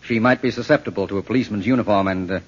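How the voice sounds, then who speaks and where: An actor playing a polite, persistent young detective in a 1940s radio drama, measured and probing. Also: male voice, on the low side, slightly rough. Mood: conspiratorial. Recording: muffled old 1940s radio recording.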